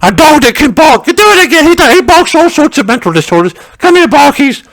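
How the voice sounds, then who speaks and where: A male comedian doing a nosy, crude old working-class wife who rambles on and on. The voice sits very high with a gravelly rasp. She keeps losing her thread and hollers at her husband and the dog. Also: sing-song, fairly quick, exaggerated voice. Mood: gossipy. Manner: rambling gossipy storytelling, shouting to husband and dog. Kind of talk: stand-up character monologue.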